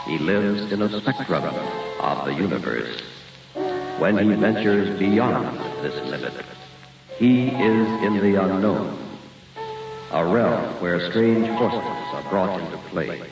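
A man playing a grave, ominous narrator of an old-time radio horror tale, solemn and measured. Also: deep voice, animated, creaky, exaggerated voice. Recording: muffled old radio, echoey, distant, loud music.

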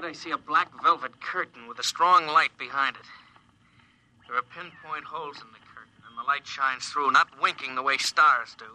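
Lonely stranded astronaut, sharp bright voice. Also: male voice, fairly high pitch, rough recording. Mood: wistful awe. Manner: reflective monologue. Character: lonely stranded male astronaut, wistful, wild Texan pilot. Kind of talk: old-time radio drama acting.

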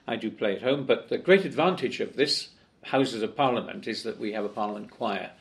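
Gravelly older man, chatty. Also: fairly low pitch, fairly quick. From Wales. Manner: chatty, with many fillers and restarts. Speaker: guest. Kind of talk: conversational interview. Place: office in Parliament.

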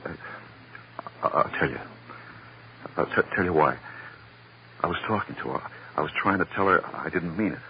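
An actor playing a desperate American murder suspect in a 1950s radio drama, halting and stammering insistently as he confesses. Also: deep voice, expressive, creaky. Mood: desperate confessing. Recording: dull, noisy vintage radio recording.

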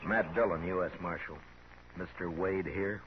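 A man playing a calm, steely frontier marshal in a radio Western, laconic and courteous, with an American accent. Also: low-pitched, normal speed, close to an everyday voice. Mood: firm. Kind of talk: 1950s radio Western acting. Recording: muffled, hissy 1950s radio recording.

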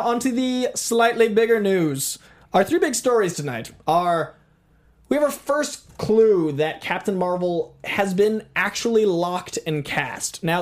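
A man co-hosting an entertainment news show, lively and quick to laugh as he leads into the stories. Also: high-pitched. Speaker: show co-host. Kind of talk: casual entertainment news chat.

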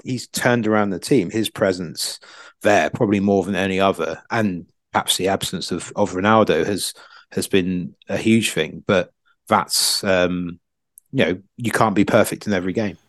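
Man, deep-ish voice, halting delivery, repeated words, casual football punditry, dry sound. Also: dry recording.

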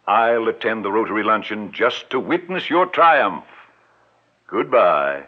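Old-time radio con man, pompous and fatherly; slow, low, lively delivery on a tinny line. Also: a little hiss or hum. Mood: coaxing. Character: smooth-talking older male con man, grandiose. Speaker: swindler. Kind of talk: 1940s radio acting.

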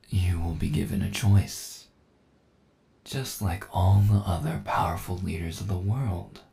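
A menacing cyborg villain, played by a man for an ASMR audio roleplay. The voice sits very low and crackles with heavy fry. Lines come out slowly, with a smug, condescending air of total control. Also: full character voice. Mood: mocking impatience. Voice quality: low, gravelly. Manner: taunting, slow menace, chuckling condescension.

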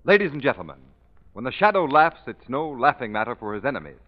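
A man's voice: mid-range voice, very animated, quick pace, soft background music. A man announcing a commercial in formal, emphatic 1940s radio style. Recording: dull vintage radio recording.

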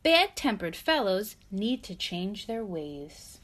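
A woman voicing a cheerful, polite male storybook character who gently reproves. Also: mid-range voice, sing-song, slow, heavy background noise. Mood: mildly reproving.

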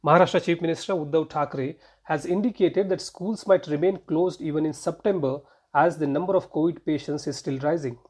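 A man's voice: fairly high pitch, brisk, few pauses, darkish tone, husky. A man with an Indian accent reading scripted news narration as a podcast host and reporter.

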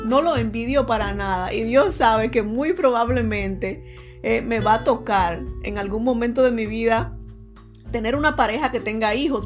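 Woman podcast host, teasing and chatty, slightly high voice. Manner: chatty and teasing, calls listeners "señores" and "mi amor". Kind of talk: casual talk to listeners.

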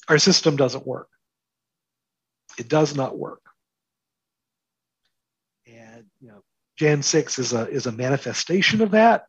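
Male interview guest, slightly high and rough-edged, emphatic explainer of big ideas, close dry lo-fi sound. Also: no echo. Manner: explains with analogies and rhetorical questions. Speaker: interview guest, market and politics commentator.

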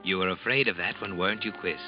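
An actor playing an ominous, insinuating narrator who taunts a guilty schemer in old-time radio suspense style. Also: male voice, sits low, quick pace, lightly acted. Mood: ominous, taunting. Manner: insinuating, taunting second-person address. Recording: muffled old radio recording with loud music.

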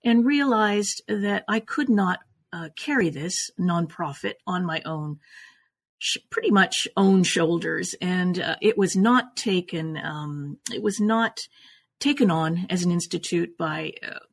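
Gravelly older woman, halting and reflective. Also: lowish voice. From born in Italy, raised in Canada. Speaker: guest, folklorist and oral historian. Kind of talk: reflective interview answers.

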